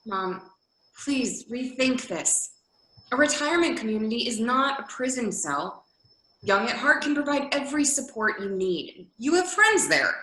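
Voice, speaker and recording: A woman's voice: fairly high pitch, sing-song, measured pace, bright, forceful tone, exaggerated voice. A woman acting a worried, practical adult daughter in a readers theater drama, pleading and insisting in exasperation. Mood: exasperated. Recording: roomy, echoey video call audio.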